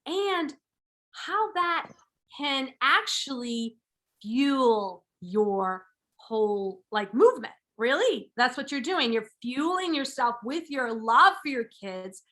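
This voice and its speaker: A woman's voice: high-pitched, expressive, takes it slowly, a bit bright. A woman in her forties hosting a podcast interview, gushing and enthusiastic, repeating words for emphasis. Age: 40s. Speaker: podcast host. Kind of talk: upbeat interview chat.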